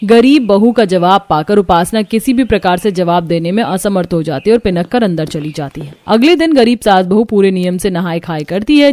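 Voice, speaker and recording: A woman's voice: mid-range voice, a little mellow, no room sound. A woman reading a scripted story as the narrator of a cartoon.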